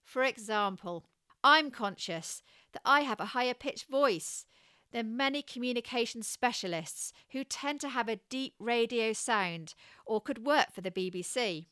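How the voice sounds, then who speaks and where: A woman with a West Country English accent, giving scripted coaching advice in a measured, encouraging way. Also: fairly high pitch, lively intonation, sharp tone, clear, resonant tone, no echo, studio quality. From England. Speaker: communication coach.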